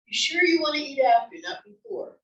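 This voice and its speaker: A woman's voice: high-pitched, brisk, fairly bright tone. A woman playing a wry, easygoing, teasing wife in a comedy table read, with an offhand manner. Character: wry, easygoing wife who teases her husband. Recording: echoey room, distant mic.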